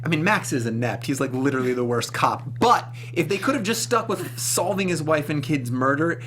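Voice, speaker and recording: A man's voice: a little high, animated, brisk, fairly bright tone, a little hiss or hum. A man co-hosting a review show, chatting casually with opinionated, conversational film commentary, often checking in with his listeners. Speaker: co-host of a movie review show.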